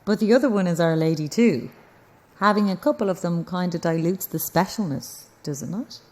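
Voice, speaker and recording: A woman's voice: on the low side, a little fast, a little mellow, close to an everyday voice. An actress playing a sceptical, stubborn female news reporter in an audio drama, with an Irish accent and a blunt, probing manner. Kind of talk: naturalistic audio drama acting.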